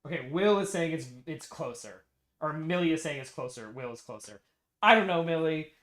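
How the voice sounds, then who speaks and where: A man's voice: a little high, expressive, moderate pace, a bit bright. A young American man chatting casually on a livestream, talkative and repeating himself as he good-naturedly argues a point. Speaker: streamer and ROM hacker.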